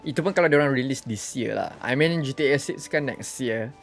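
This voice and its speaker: A young Malaysian man chats casually on a game live stream. His voice is medium-pitched and his delivery is offhand and reactive, with game music behind him. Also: fast talker, creaky. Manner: mixes Malay and English offhandedly.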